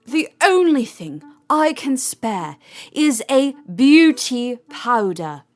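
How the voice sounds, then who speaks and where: A mysterious, troubled wizard acted by a woman in a storybook audio drama. The voice is highish and swoops dramatically, drawing words out extremely slowly and theatrically. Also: big pitch swings, faint music behind, exaggerated voice. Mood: sly, ominous. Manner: drawn-out, theatrical delivery. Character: distraught, mysterious male wizard who makes potions.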